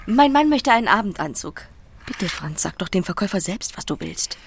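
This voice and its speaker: A woman's voice: mid-range voice, sing-song, a little fast. A fussy, domineering wife in a German radio play. Her mid-range voice leaps about as she nags and gives orders. Faint noise sits behind her. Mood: bossy. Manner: commanding, nagging. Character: bossy nagging wife, fussy department store customer.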